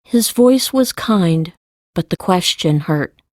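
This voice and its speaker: A woman narrates a story for an audiobook at a very slow, deliberate pace. Her voice sits fairly low, and the recording is dry, with no room sound. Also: animated, a little mellow, dry recording.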